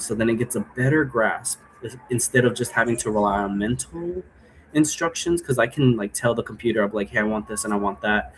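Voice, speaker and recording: A man's voice: on the low side, slight background noise, low-quality recording. A man casually explaining his role-play game in a rambling way, often checking for agreement with tag questions. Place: indoor room.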